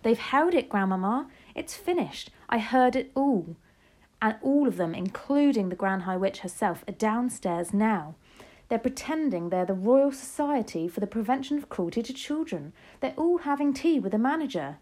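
A woman's voice: on the high side, lively intonation, moderate pace, a little mellow. A woman reading aloud as a young boy, frightened but earnest, telling his story breathlessly.

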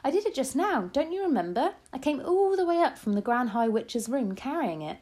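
A woman's voice: high voice, very animated, fairly quick, dark tone, pitched above the performer's own voice. A woman voicing a clever young boy who has been turned into a mouse, earnest and eager.

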